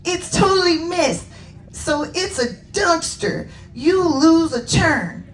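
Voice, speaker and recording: A sporty young unicorn calls out bossily in a squeaky voice pushed way up high, put on by a woman reading a storybook. She is argumentative, like a kid on a playground. The sound is roomy and distant. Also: animated, takes it slowly, exaggerated voice. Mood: competitive, insistent. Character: bossy, sporty young schoolgirl unicorns at play.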